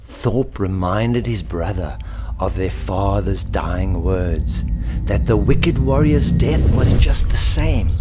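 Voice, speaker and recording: A man narrating a children's story in an expressive, theatrical way, lingering on alliterative words. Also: lowish voice. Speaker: author and storyteller.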